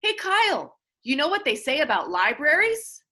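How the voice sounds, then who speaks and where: An excitable schoolboy played by a woman reader, pitched high and bright. He is eager and slangy, exclaiming in big swoops as a storybook character who loves to brag. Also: moderate pace, exaggerated voice. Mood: excited. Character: excitable, bragging middle school boy, super enthusiastic.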